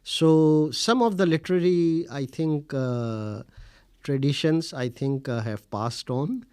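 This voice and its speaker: A middle-aged man from India whose first language is Urdu reflects on his career in a measured, formal way. He pauses often with "uh" as he searches for phrasing. The sound is dry and close, with no room echo. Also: on the high side, darkish tone, smooth. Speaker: interviewee, scholar. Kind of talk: reflective interview answers.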